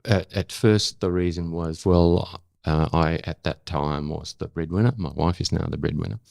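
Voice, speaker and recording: An Australian man of seventy talking reflectively in an interview, in an unhurried, halting storytelling way with emphatic repetition. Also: deep voice, steady tone, creaky, darkish tone, no echo, clean studio sound. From South Australia. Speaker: interview guest, former broadcaster.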